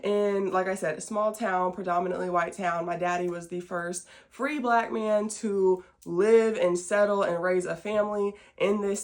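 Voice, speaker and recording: A woman in her late twenties with an American accent, hosting a podcast and chatting casually to the audience in a folksy, self-deprecating way. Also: on the low side. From small-town Southern Illinois, United States. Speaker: podcast host. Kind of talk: casual chat to camera, introducing a show.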